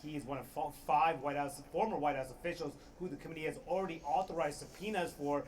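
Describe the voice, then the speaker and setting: Higher-pitched male news correspondent. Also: fairly even intonation, quick pace. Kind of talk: live news report. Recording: faint ambient noise, live TV report.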